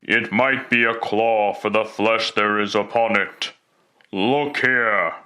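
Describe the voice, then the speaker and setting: A man as a slow, forceful, aging Christmas spirit. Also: lowish voice, steady tone. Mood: urgent, commanding. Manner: slow, declamatory, ominous. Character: aged male Christmas spirit, solemn, stern, foreboding. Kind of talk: classic radio drama acting.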